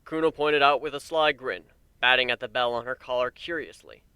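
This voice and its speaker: Man, story narrator, dramatic reading, clear bright tone, dry recording. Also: mid-range voice, fairly quick, no room sound. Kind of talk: dramatic narration of fan fiction.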